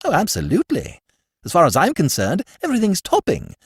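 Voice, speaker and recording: A man voices a breezy young Englishman of the who chatters in an airy, sing-song drawl. The voice sits high and swoops wildly. The sound is dry and close. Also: huge pitch swings, brisk, husky, dry recording, full character voice.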